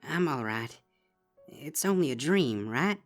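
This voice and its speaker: A man voicing a frightened, suspicious young filly pony, speaking in a high, shaky, pleading way. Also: expressive, measured pace, faint music behind. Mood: anxious.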